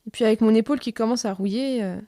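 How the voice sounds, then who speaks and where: A woman's voice: fairly high pitch, fast, mellow, no echo, studio quality, close to an everyday voice. A woman playing an affectionate, chatty aging robot friend with rusting parts in an audio drama. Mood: fond, chatty. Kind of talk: French audio fiction drama.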